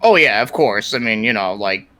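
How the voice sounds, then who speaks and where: A man's voice: fairly low pitch, lively intonation, moderate pace, bright, forceful tone, no echo. A man chatting casually as a podcast guest, rambling and informal, with lots of filler words. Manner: rambling, informal, peppered with "like" and mild swearing. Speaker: podcast guest, YouTube content creator. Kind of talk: casual interview chat about his projects and gaming.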